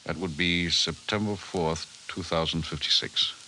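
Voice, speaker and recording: Casting: decisive president, 1950s radio play. Bass register, forceful tone, deliberate formal speech, slightly hissy recording. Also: male voice, steady tone, heavily acted, poor audio. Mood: stunned. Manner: formal, deliberate, weighty delivery. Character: authoritative, decisive male president of a nation. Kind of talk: 1950s radio drama acting.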